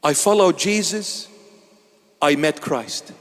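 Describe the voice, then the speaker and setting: A man's voice: slow pace, a bit bright, in a large hall. Fervent bishop in his fifties. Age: 50s. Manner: emphatic, swinging between low intensity and raised exhortation. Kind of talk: sermon. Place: church.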